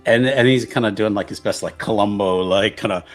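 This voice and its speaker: A man's voice: lowish voice, brisk, soft background music. A man playing a tabletop roleplaying game, casually improvising narration of what his character does.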